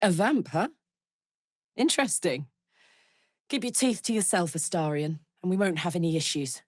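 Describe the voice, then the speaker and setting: A woman's voice: fairly low pitch, normal speed, no room sound, clean studio sound, close to an everyday voice. Woman acting a brash, big-hearted tiefling warrior. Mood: wry, watchful. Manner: blunt, sweary, colloquial. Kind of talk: video game character voice lines.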